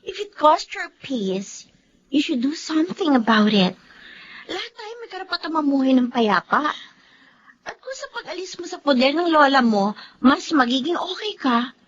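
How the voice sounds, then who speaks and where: A woman playing a supportive, outspoken young Filipina classmate in a drama, speaking expressively. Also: high voice. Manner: expressive, mixes English into Tagalog. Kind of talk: dramatized dialogue acting. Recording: dull lo-fi audio.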